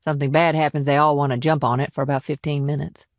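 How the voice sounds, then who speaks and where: A man's voice: fairly high pitch, level tone, fast, no room sound, clean studio sound, close to an everyday voice. A man voicing a plain-spoken, wry and weary small-town policewoman in an audiobook, talking in clipped, folksy sentences. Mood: matter-of-fact. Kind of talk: audiobook character dialogue.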